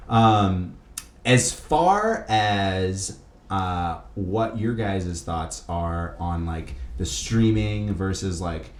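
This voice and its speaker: Low-voiced man chatting in an echoey room. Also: expressive, extremely slow. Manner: rambling, slangy, heavy use of "like". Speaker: podcast host interviewing a band. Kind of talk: casual chat. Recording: echoey room with faint background noise.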